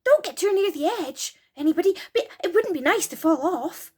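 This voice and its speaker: A woman tells a bedtime story to children. Her delivery is gentle and highly animated, swinging in pitch and often sinking to a hushed, breathy near-whisper. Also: normal speed. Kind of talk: expressive bedtime story narration.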